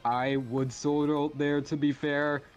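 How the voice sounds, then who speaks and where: A man's voice: on the high side, level tone, slow, highs cut off, soft background music. A young man bantering casually and jokingly while commenting on a game, using plenty of slang. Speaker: esports scrim commentator.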